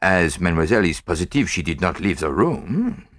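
A man playing a courteous, shrewd gentleman detective in a storybook reading, polite and measured. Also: low-pitched, sing-song, dry recording, clean studio sound, heavily acted. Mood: inquiring, unruffled.

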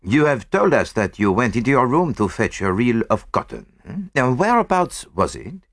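A man playing a courteous, shrewd gentleman detective in a storybook reading, polite and measured. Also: mid-range voice, sing-song, no echo, clean studio sound, voice pushed up. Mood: probing, polite.

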